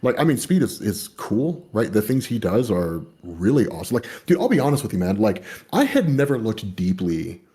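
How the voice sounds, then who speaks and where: A man's voice: lowish voice, very animated, moderate pace, hardly any pauses, dark tone. A man in casual conversation, rambling with lots of fillers and the odd swear. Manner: rambling, many fillers and "you know".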